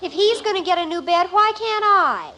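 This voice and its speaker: A woman's voice: extremely high pitch, animated, normal speed, sharp tone, heavily acted. An American woman playing a dramatic, indignant teenage daughter in a 1950s radio sitcom.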